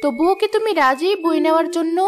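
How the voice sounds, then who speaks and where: A woman voicing a kindly, solemn fairy of knowledge in a cartoon, gently explaining. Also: very high voice, animated, a little fast, soft background music. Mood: solemn, instructive. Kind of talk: cartoon voice acting.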